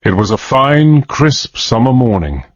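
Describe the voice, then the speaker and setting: An actor playing a reflective adventurer, giving measured first-person narration in a radio drama. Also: male voice, mid-range voice, big pitch swings, dry recording, muffled recording. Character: reflective male adventurer recalling his past.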